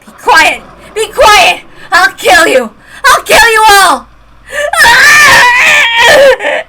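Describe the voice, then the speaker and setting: A woman's voice: extremely high pitch, expressive, normal speed, very noisy, voice pushed up. A woman voicing a grief-stricken teenage girl who shrieks and sobs in a murderous rage. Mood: screaming crying. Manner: shrieking and sobbing.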